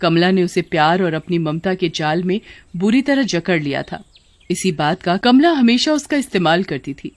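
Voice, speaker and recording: A woman's voice: lowish voice, big pitch swings, moderate pace, no echo. A woman giving scripted narration for a children's cartoon story.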